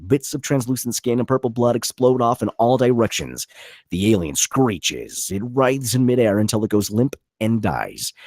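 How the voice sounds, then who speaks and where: Male table-read narrator: raspy, punchy, lively pitch swings, dry close sound. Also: no echo. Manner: vivid, punchy reading of action. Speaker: narrator reading stage directions.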